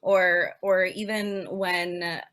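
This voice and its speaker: A young woman from Peru, an author being interviewed, chatting casually with lots of "like" and quick laughs over a video call. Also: lowish voice, flat delivery, a bit bright. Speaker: author guest.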